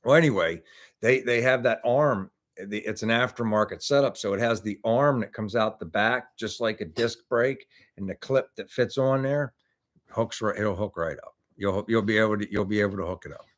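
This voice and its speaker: A man's voice: on the low side, normal speed. A man in his fifties hosting a car hobby livestream, chatting casually and rambling through answers to viewer questions with lots of restarts. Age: 50s. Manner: rambling, many restarts and fillers.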